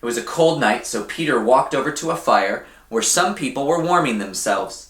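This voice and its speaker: A man reading a children's story aloud in an expressive, deliberate way, often putting questions to his young listeners. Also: mid-range voice, reverberant. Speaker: church storybook reader for children.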